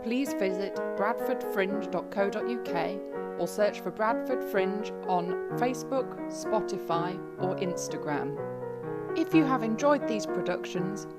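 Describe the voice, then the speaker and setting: A woman announcer delivers scripted radio credits. Her pitch is average and her pace very slow. Piano music plays prominently behind her. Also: expressive. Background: piano or keyboard music.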